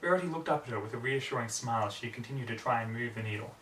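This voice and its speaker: A young man narrates a story with earnest drama. His voice is bright and mid-pitched. It comes from a little way off in a small, echoing room. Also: rapid-fire. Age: young adult. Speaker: story reader. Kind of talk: dramatic fan fiction narration. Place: small home room with computer desk. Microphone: distant camera microphone. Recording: roomy, distant mic, background noise.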